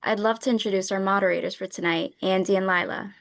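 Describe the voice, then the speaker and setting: A woman's voice: mid-range voice, steady tone, quick pace, a bit bright. Young adult woman, host, friendly scripted opening on a video call. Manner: upbeat and friendly. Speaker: student event host.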